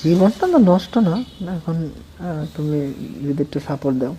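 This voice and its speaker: A man's voice: on the high side, normal speed, mellow, natural voice. A man playing a persistent, wheedling young student in a colloquial phone-call drama. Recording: faint background noise.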